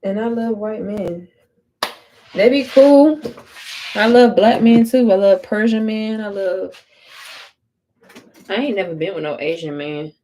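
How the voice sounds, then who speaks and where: A woman's voice: mid-range voice, unhurried, long pauses, warm tone, a little hiss or hum. Brief: woman livestreamer, casual, blunt, swears freely, repeats lines, phone mic at home. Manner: blunt, profane, chatty, repeats herself. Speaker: livestream vlogger. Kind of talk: casual chat while doing her hair.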